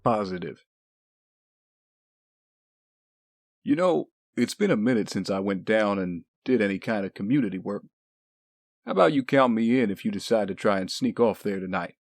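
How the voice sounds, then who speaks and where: Dry, low-voiced male bodyguard. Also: lively intonation, a little fast, no room sound. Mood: casual, probing. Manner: dry, casual, wry. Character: easygoing but watchful male bodyguard, dryly teasing. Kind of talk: audio drama dialogue.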